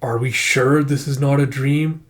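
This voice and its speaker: A man's voice: mid-range voice, fairly even intonation, lightly acted. A man performs a solemn otherworldly warrior of a fantasy comic. He is proud and archaic in his speech. Every phrase comes out portentous, with dread beneath it. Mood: solemn, wary. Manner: slow, formal, portentous.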